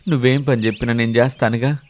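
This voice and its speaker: A man voicing a scheming, fawning middle-aged village uncle in an animated moral story, coaxing others and muttering to himself. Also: mid-range voice, quick pace, close to an everyday voice. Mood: flustered, pleading.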